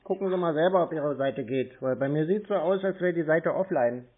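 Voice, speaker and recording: A man's voice: on the high side, a little fast. A man chatting casually on the phone in a matter-of-fact, slightly hesitant, colloquial way. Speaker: scam-baiting call recipient posing as customer. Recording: tinny band-limited phone call.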